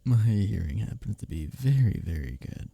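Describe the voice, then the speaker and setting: A man acting as a sardonic male office rival in an intimate ASMR roleplay. His voice is low and husky, and it swings expressively as he needles you, with a caring streak underneath. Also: sing-song, normal speed, mellow, no echo, exaggerated voice. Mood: smug teasing. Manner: intimate, teasing, sardonic, chuckling between lines. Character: teasing, bickering male workplace rival, secretly caring. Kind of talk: ASMR audio roleplay, one-sided dialogue.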